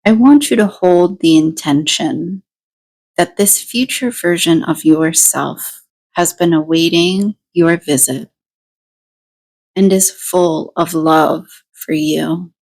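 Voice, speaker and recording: A woman leading a guided meditation, giving deliberate instructions in short phrases with pauses between them. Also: fairly low pitch, darkish tone.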